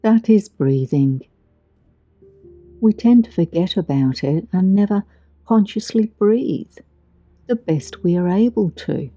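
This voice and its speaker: A woman guiding a meditation in a soothing, gentle, deliberate way with long pauses, recorded with a muffled sound. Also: medium pitch, expressive, no room sound. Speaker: meditation guide. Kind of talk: guided meditation narration. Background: background music.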